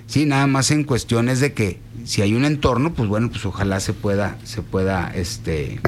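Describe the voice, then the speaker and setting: A man's voice: lowish voice, moderate pace, a little hiss or hum. A man hosting a casual football talk show on the radio, rambling and restarting his phrases. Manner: rambling, restarts and repeats himself. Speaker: sports radio host.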